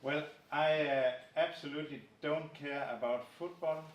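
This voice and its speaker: A man's voice: fairly high pitch, steady tone, moderate pace, muffled recording, slight background noise. Guy in his fifties, matter-of-fact intro, far mic, echoey room. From Denmark. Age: 50s. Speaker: project manager. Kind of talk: casual self-introduction.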